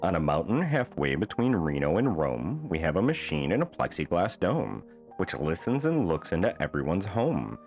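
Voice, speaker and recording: A man reading a rhyming children's bedtime book aloud as an expressive storyteller. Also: low-pitched, normal speed, soft background music.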